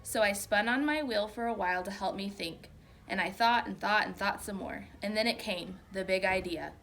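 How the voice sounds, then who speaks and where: A woman's voice: mid-range voice, normal speed, a bit bright, a little hiss or hum, natural voice. A woman voicing a small, clever storybook hamster who tells the tale earnestly and wryly. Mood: earnest, thoughtful.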